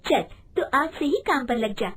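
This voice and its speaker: A woman plays a young daughter-in-law helping run an eatery. Her voice is very high and animated. She is brisk and blunt, in lively storybook style. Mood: brisk, skeptical. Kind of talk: animated Hindi storybook voice acting.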